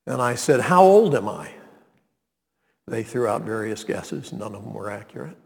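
A man, preaching a sermon and reading scripture, deliberate and emphatic. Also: lowish voice, lively intonation, vocal fry, a little mellow. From North Carolina, US. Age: 90s. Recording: long reverb of a large hall.

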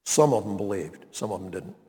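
A man, preaching a sermon and reading scripture, deliberate and emphatic. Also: on the low side, animated, dark tone. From North Carolina, US. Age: 90s. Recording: long reverb of a large hall.

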